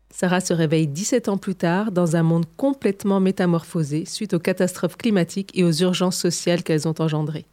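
Female radio feature narrator, slightly low, mellow tone, scripted read. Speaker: radio presenter.

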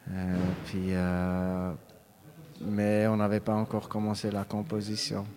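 Man, musician, low-pitched and unhurried, halting delivery. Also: monotone, a little mellow. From Switzerland. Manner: halting, with many fillers and restarts. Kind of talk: casual interview answers.

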